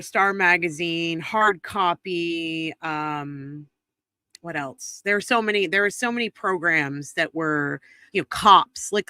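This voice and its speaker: A woman's voice: on the low side, sharp tone, dry recording. Congested woman chatting on a livestream. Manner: chatty, thinks aloud with frequent ums and restarts. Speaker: true crime stream co-host. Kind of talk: casual livestream commentary. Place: home studio near the DC area. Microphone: close streaming mic.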